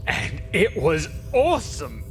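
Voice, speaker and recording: An actor playing a brash, roguish spaceship captain in a video game, speaking in a cheeky, informal way. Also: male voice, on the high side, huge pitch swings, takes it slowly, soft background music, full character voice. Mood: cheeky, boastful. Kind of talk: scripted video game character dialogue.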